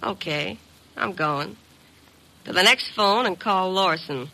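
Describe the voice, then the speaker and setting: A woman's voice: lowish voice, slow pace, gravelly, pushed and bright, full character voice, low-quality recording. An actress playing a tough, cool female blackmailer with slangy, brassy, insistent talk in an old radio drama. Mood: hard, threatening. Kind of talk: 1950s radio drama acting. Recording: vintage radio broadcast.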